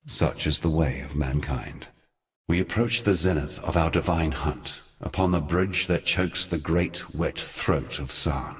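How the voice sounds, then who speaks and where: An ancient god of a video game, speaks in an extremely deep voice. He is grave and weary, with a scornful edge. His storytelling is formal, measured and theatrical. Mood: grave, brooding. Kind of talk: scripted dramatic video game narration.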